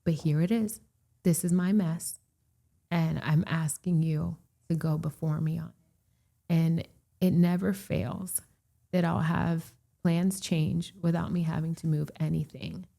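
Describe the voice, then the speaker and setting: Woman in her thirties, from Puerto Rico, chatty interview guest; low, gravelly, mellow voice, laughs a lot. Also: unhurried. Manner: animated, laughs often, chatty and warm. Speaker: real estate broker, podcast guest. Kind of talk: casual interview chat.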